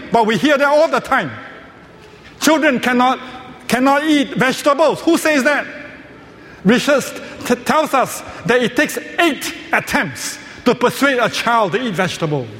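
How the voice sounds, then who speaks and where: A man's voice: very high voice, a bit bright. A man giving a joke-filled lecture in a large hall, asking questions and answering them himself. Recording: long hall reverb.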